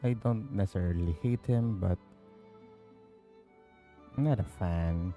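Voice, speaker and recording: A game streamer giving casual, deadpan commentary while playing. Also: low voice, a little slow, soft background music.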